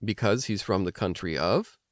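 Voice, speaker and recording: An American man hosting an instructional language podcast, explaining things clearly like a teacher. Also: low voice, normal speed, no echo, studio quality. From United States. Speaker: language lesson host and teacher. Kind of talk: instructional podcast narration with personal anecdotes.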